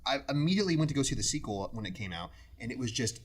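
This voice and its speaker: A man's voice: medium pitch, very animated, racing, heavy background noise. A man hosting a casual podcast discussion in a jokey, wry manner, moving it along with his opinions. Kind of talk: casual chat, film opinions.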